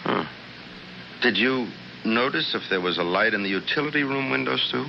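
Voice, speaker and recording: An actor playing an affable, practical husband at home, informal and theatrical, in 1970s radio drama style. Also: male voice, medium pitch, lively intonation, normal speed, creaky. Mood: puzzled. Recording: dull, noisy vintage radio recording.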